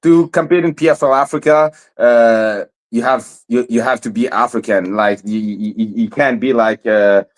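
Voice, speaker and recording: A man's voice: mid-range voice, moderate pace, few pauses. A young man from Montreal, a fighter guest in a casual interview, who stammers and repeats words often. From Montreal, Canada.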